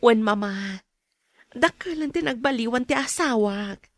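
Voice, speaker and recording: A woman with an Ilocano accent playing a gentle, devoted young wife and mother in a radio drama. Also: fairly high pitch, expressive, unhurried, no echo, studio quality. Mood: cheerful, respectful.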